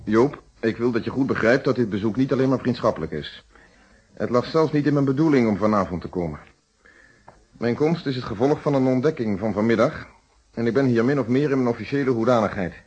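A man's voice: medium pitch, a little fast, darkish tone, slightly rough, close to an everyday voice. An actor playing a blunt, probing police inspector in a radio play, stern and lecturing as he questions people. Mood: stern, formal. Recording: muffled vintage radio play recording.

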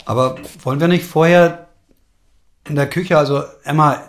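An actor playing a gentle, harmless, sheltered city man in a German audio drama, hesitant and self-deprecating. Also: fairly high pitch, steady tone, normal speed, natural voice. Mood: wry, rueful.

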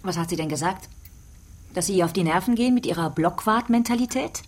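Woman doing a lady cop in a radio play, lowish voice, pushy and taunting, grilling a suspect. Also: brisk, lightly acted. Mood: impatient, demanding. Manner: probing, taunting, insistent. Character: relentless female police detective, needling a suspect. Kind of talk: radio drama interrogation.

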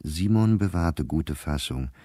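Very deep, husky, weary diarist. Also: male voice, animated, dark tone, no echo, clean studio sound, exaggerated voice. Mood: grave. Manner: measured, deliberate, clipped diary phrasing. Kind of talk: literary diary reading in a radio play.

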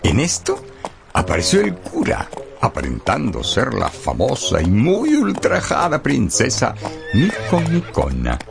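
A man tells a story as a radio-drama narrator. His lowish voice is gravelly and very lively in intonation, expressive, with music playing clearly behind him. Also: measured pace.

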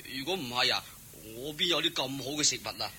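An actor playing an eager, humble, good-hearted cowherd servant in a Hong Kong Cantonese radio drama, deferential and full of exclamations. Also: male voice, medium pitch, animated, a little fast, sharp tone, breathy edge, exaggerated voice. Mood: eager, generous.

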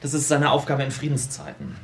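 An actor playing an eccentric, self-absorbed programmer in a radio play, explaining things at length with small hesitations. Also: male voice, medium pitch, big pitch swings, a little hiss or hum. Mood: wry, explanatory. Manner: explanatory, lecturing, halting in places. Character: eccentric, self-absorbed American computer programmer, brilliant tinkerer. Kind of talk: naturalistic radio drama dialogue.

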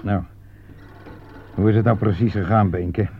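A down-to-earth army sergeant in a radio drama, gruff yet fatherly with his men. The voice is fairly low and brisk. The old recording is thin and phone-like. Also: steady tone, a little hiss or hum. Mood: steady, probing. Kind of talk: radio play acting.

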